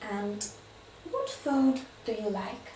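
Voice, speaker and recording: A woman conducting a spoken English exam as the interviewer, giving clear, measured prompts. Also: medium pitch, big pitch swings, dark tone, roomy sound, recorded from a distance, slight background noise, poor audio.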